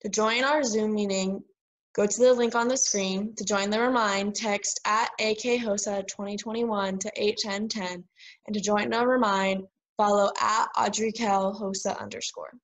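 Young woman reads club news, even school announcement voice. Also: medium pitch, moderate pace, low-quality recording. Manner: reading a script. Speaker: student announcer.

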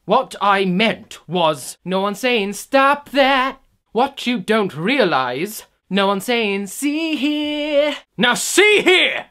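Guy doing a pompous old-school tutor, slow and scoldy, voice jumps all over. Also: high voice, animated, smooth, heavily acted. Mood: stern scolding. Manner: indignant, scolding, shouts in outbursts. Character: pompous stuffy male royal tutor.

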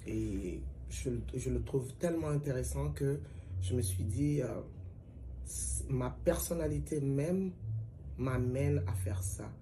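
A middle-aged woman from Mali, a singer and griot, giving measured, reflective interview answers with pauses. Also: rumbling low, mellow, slight background noise, low-quality recording. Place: plain indoor room, seated at a table.

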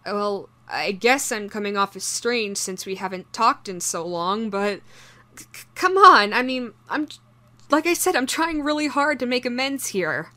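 A woman voicing an obsessive shapeshifting doppelganger posing as someone's ex, speaking haltingly in an intimate horror audio roleplay. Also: a little high, expressive. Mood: flustered, pleading. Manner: halting, stammering, soft-spoken in menace.

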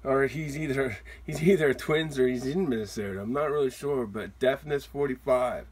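A man hosting a card-opening video with excited, playful casual commentary, given to exclamations. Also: medium pitch, moderate pace, slightly rough. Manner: exuberant, exclaiming and whooping, playful. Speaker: host of a trading card opening channel. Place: indoors, at a table with cards.

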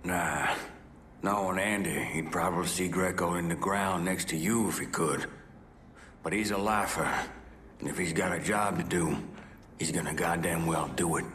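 Deep-voiced, gruff dockworker. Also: male voice, moderate pace, a bit bright, a little hiss or hum. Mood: wary, blunt. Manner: blunt, profane, grumbling. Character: gruff, bitter working-class dock union man. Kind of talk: video game dialogue.

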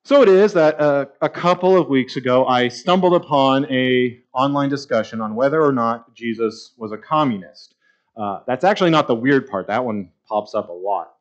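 A man's voice: mid-range voice, moderate pace. A man in his thirties preaching a sermon at a church pulpit, conversational and wry with sarcastic asides. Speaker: pastor. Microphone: pulpit mic, far camera. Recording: slightly dull church livestream audio.

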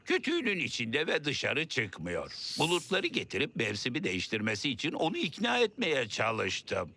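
A man's voice: mid-range voice, huge pitch swings, normal speed, creaky, pushed and bright, exaggerated voice. A man playing an earnest, well-meaning but exasperated king in a dubbed children's cartoon.

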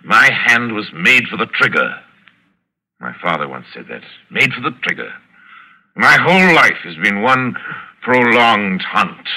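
An older aristocratic big-game hunter in a vintage radio thriller. His low voice swoops widely and cuts through with a sharp, forceful edge. He is suave, deliberate and chilling, relishing every phrase. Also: very animated, exaggerated voice, rough recording. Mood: boastful, relishing. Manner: suave, deliberate, theatrical, savoring words. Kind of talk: 1940s radio acting. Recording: vintage radio drama broadcast recording.

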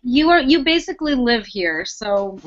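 A woman talks on a video call in a fairly high voice. She is friendly and chatty, with plenty of fillers as she thanks a speaker. The call audio is dull and lo-fi. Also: expressive, normal speed. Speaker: event organizer. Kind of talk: casual video-call chat.

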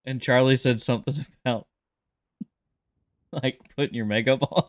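A man's voice: medium pitch, fairly even intonation, slow pace, dry recording, clean studio sound. A man improvising in a game roleplay, chatting in a hesitant, bemused manner. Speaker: roleplay streamer playing a police lieutenant.

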